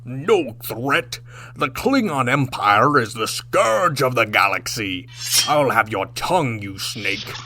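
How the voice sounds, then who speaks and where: A man's voice: mid-range voice, very animated, takes it slowly, bright, forceful tone, slight background noise, heavily acted. A man playing a blustering, threatening Klingon warship captain, pompous and declamatory, in a sci-fi radio drama. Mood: scornful threats.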